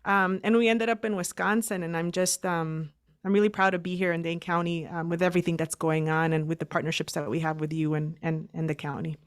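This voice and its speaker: A woman's voice: on the low side, fairly even intonation, brisk. A woman from El Salvador, a nonprofit director guesting on a podcast, telling her story fluently and confidently. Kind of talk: casual interview.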